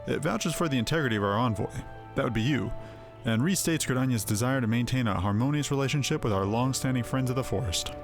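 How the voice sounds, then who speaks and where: A man plays a grave, dutiful official of a forest realm in a fantasy game. The delivery is formal and earnest at a medium pitch, over clearly audible music. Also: close to an everyday voice. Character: solemn, dutiful forest official briefing an envoy.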